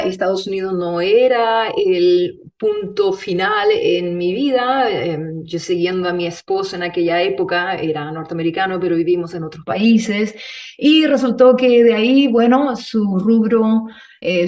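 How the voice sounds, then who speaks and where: A woman with a mid-pitched voice talks as an interview guest. She tells her story in a loose, meandering way, checking in with little tag questions, and sounds somewhat far from the microphone. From Chile. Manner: meandering, ends phrases on checking tags. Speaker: podcast guest, movement instructor. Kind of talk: conversational personal storytelling.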